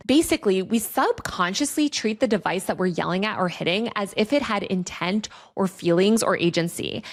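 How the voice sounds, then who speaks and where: A woman with a mid-pitched voice presents video essay commentary as a host. She explains things in a conversational manner, mixing scripted narration with casual asides and everyday slang. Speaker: host of a tech and online culture video channel.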